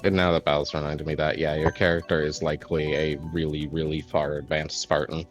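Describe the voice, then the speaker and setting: A man streaming a kart racing game, chatting casually, rambling about game lore and swearing when excited. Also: extremely low pitch, a little fast, muffled recording, soft background music. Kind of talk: casual gaming chat.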